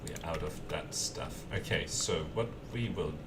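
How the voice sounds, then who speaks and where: A man with a mild German accent softened by an Australian twang talks slowly and easily in a low voice while he streams a building game. The mic sits some way off, and keyboard typing clatters clearly behind him. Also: far from the mic, heavy background noise. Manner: easygoing, chatty, answers viewer questions between tasks.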